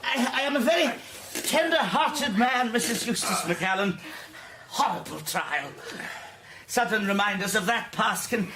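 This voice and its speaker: Theatrical, volatile eccentric gentleman, wildly swooping voice. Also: high-pitched, expressive, measured pace, noisy background. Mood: self-pitying, anguished. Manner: theatrical, florid, swinging between outbursts and hushed brooding. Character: eccentric, melodramatic, self-pitying legless gentleman, volatile. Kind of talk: radio drama acting.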